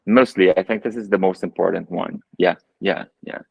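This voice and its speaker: A man's voice: low voice, steady tone, normal speed, vocal fry, a little mellow, breathy edge, no echo. A man in his thirties with a Brazilian Portuguese accent, a photographer talking as a guest on a video call from his living room, thoughtful and full of fillers and restarts. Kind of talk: casual video call interview. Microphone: earbuds on a video call.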